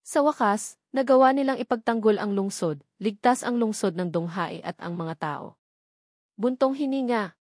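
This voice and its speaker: Woman, audiobook narrator, scripted storytelling, medium pitch, very dry recording. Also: normal speed, a little mellow, dry recording.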